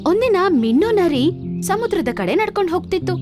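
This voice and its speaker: A woman's voice: very high voice, sing-song, moderate pace. A woman narrating a scripted cartoon story for children. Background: music.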